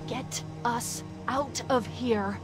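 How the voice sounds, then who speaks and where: An actress playing a sharp-tongued, sarcastic female AI in a video game cutscene, biting and protective. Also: fairly high pitch, fairly even intonation, very slow. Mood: urgent. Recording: music behind the voice.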